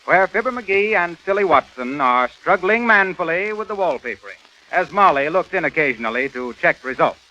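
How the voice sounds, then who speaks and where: A man's voice: on the high side, expressive, normal speed, bright, forceful tone, dull audio. An American man announcing in a scripted 1930s radio style, on a crackly old broadcast recording.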